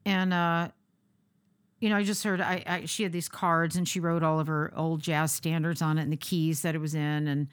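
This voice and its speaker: Low-voiced woman in casual chat. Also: little pitch movement, moderate pace, smooth, no room sound. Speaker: musician guest.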